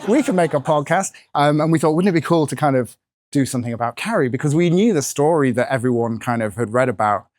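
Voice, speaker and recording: A man with a croaky voice, the author and podcast co-host being interviewed at a bookshop event, answering in a chatty way with lots of fillers. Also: on the high side, animated, fast talker, a little mellow, dry recording, clean studio sound. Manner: chatty, with many fillers and hedges. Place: bookshop event, in front of an audience.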